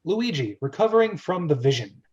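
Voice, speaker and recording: A man with a fairly high voice narrates a screenplay in a casual table read. He hosts loosely, slipping between stage directions and chatty asides. The sound is somewhat dull and lo-fi. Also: big pitch swings, brisk, darkish tone, slightly rough. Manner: often laughs and breaks off into asides. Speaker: host and narrator of a script read-through.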